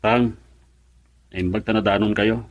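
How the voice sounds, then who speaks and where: A man's voice: fairly low pitch, steady tone, unhurried, no echo, natural voice. A man acting as a sentimental, fretful husband in an Ilocano radio drama. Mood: humble, pleading. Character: sentimental husband fretting over wife leaving for abroad.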